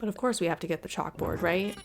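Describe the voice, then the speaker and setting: A woman giving casual, chatty and expressive voice-over commentary as she plays a video game. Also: on the low side, fast, gravelly. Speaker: gaming video creator. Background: background music.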